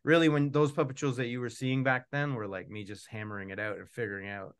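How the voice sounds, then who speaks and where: A man's voice: mid-range voice, steady tone, fast, clean tone. A middle-aged man, casually hosting an online event from a room at home. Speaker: host, puppeteer and author. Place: home room on a video call.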